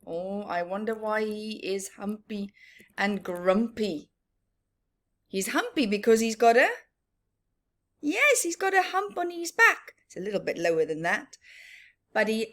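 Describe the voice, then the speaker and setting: A woman's voice: mid-range voice, a bit bright. A woman presenting a story time for young children, talking in a singsong way with repeated words and questions to her listeners. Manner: asks listeners questions and answers them. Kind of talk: children's read-aloud and teaching, addressed to young viewers.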